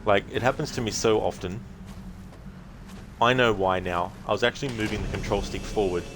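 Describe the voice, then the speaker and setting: A man with a low voice chats casually as he streams a video game. Loud game noise runs behind his commentary. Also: fairly even intonation, a little fast.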